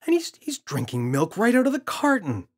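A man's voice: sits high, wildly swooping pitch, normal speed, slightly rough, pitched above the performer's own voice, exaggerated voice. A man voicing an indignant, exasperated young boy in a children's story, pleading and insistent.

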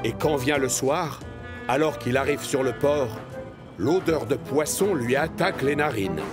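A man narrates a children's cartoon story. His voice sits at a medium pitch, with music clearly playing behind it. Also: a little slow.

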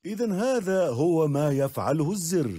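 A man's voice: on the high side, expressive, moderate pace, mellow, no echo. A man voicing a confident, bumbling, good-natured father pig in a preschool cartoon, explaining things with self-assurance. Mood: self-assured. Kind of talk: Arabic-dubbed preschool cartoon.

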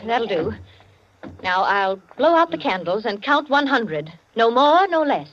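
An actress playing an imperious, determined society hostess in a 1940s radio drama, commanding and measured in manner. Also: female voice, on the high side, sing-song. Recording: muffled vintage 1940s radio recording.

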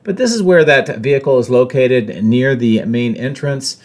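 A man in his fifties giving a measured, matter-of-fact explanatory narration as a drone vlogger. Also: medium pitch, animated. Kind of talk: explanatory site-update narration.

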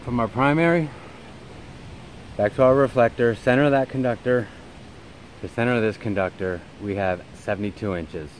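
An American man in his forties explaining things in a casual, informal vlog style, with emphatic, halting phrasing. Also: mid-range voice, a little hiss or hum. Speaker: radio hobbyist video host. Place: inside a parked SUV.

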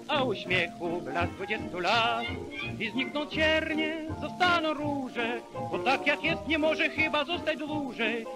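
A man's voice: high voice, pushed and bright, no echo. A man with a Polish accent singing 1930s dance jazz as a crooner, on a vintage gramophone record. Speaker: singer. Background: dance jazz orchestra.

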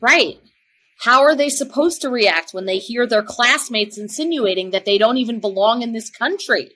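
A woman acting as a protective, middle-aged mother in a school drama. She is insistent and indignant, with a fairly high voice. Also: expressive, normal speed, pushed and bright.

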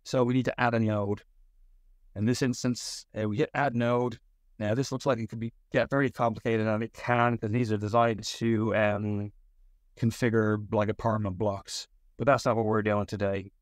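A man giving a step-by-step software tutorial as a tech support instructor. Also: fairly low pitch, flat delivery, moderate pace, no room sound.

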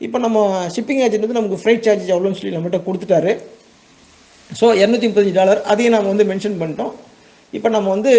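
A man's voice: high voice, darkish tone. A man with a Tamil Nadu accent giving an informal step-by-step tutorial, conversational, checking in with listeners and repeating words for emphasis. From Tamil Nadu, India. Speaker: export business owner giving a tutorial.

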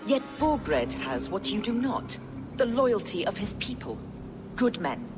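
A woman's voice: medium pitch, very animated, unhurried. A proud captive queen, with a voice that leaps up and down. It carries a long reverb with music underneath. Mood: defiant. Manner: defiant, swinging to desperate pleading. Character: proud captive Mercian queen, loyal wife. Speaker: game character.

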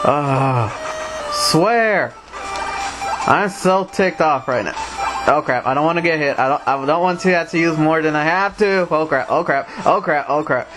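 A man's voice: a little high, poor audio. A man with a Mexican accent giving casual commentary over a game, grumbling, talking back to the characters on screen and humming to himself. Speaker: let's play commentator. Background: retro game music.

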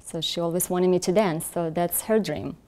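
Soft-spoken woman. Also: fairly low pitch, normal speed. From Russia. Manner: soft, hesitant, many ums. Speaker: dancer, guest. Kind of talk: interview answers. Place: furnished living room. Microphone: lapel mic.

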